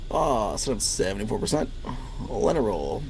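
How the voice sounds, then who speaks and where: A man leading a flight formation, giving clipped radio commands in slow, drawn-out calls. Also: lowish voice, big pitch swings, vocal fry, a little mellow, slight background noise, rough recording.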